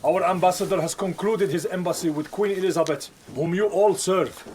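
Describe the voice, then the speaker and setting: A man's voice: sits high, fairly even intonation, fairly quick, a little mellow, slight background noise, lightly acted. An actor playing a persuasive Moorish embassy man in a period radio drama, earnest, formal and pleading. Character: urgent, persuasive Moorish envoy's man, loyal friend.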